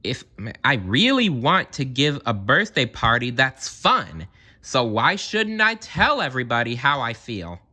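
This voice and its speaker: A man's voice: mid-range voice, wildly swooping pitch, unhurried, pushed and bright, voice pushed up, exaggerated voice. A man voicing an elderly female unicorn from a storybook, wistful and fretful, with sighs and exclamations. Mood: resolved.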